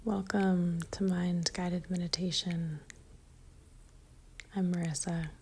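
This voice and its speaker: Slow, breathy woman guiding meditation. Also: on the low side, a little hiss or hum. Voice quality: soft, breathy, near-whisper. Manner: calm, gentle, unhurried with long pauses. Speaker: meditation guide. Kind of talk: guided meditation.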